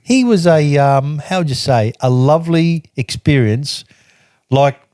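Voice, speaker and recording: A man's voice: on the high side, lively intonation, unhurried, a little mellow, no room sound, clean studio sound. An older man reading from his old travel journal and telling the stories behind it in a casual, chatty way, laughing often. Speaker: podcast guest recounting travels.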